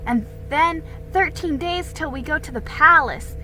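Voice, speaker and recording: Woman putting on an eager, high-voiced young girl. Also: measured pace, bright, forceful tone, soft background music. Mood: excited. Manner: earnest, emphatic, pleading. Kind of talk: dramatic storybook reading.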